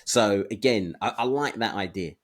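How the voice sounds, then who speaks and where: Low-pitched man thinking aloud on a podcast. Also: normal speed. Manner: hesitant, thinking aloud in broken phrases. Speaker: podcast co-host. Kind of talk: casual podcast discussion.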